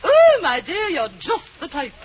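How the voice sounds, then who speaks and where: A woman's voice: very high-pitched, wildly swooping pitch, measured pace, lo-fi sound, a little hiss or hum, heavily acted. An actress playing a fussy, theatrical female drama coach in an old radio comedy, recorded with a studio audience. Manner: theatrical, gushing, instructing. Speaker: drama coach running auditions. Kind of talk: 1940s radio comedy acting.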